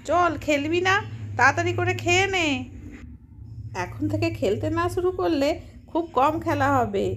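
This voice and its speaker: An impatient young playmate, voiced by a woman in a doll story, chattering and urging in a high voice. The recording has faint background noise. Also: a bit bright. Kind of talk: doll-play story voice acting.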